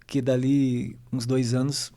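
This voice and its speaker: A middle-aged man, chatting as a podcast guest. He tells long stories in a relaxed, unhurried way and keeps checking in with his listeners through little tag questions. His voice sits at an ordinary male pitch. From western Santa Catarina, Brazil. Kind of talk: casual podcast conversation.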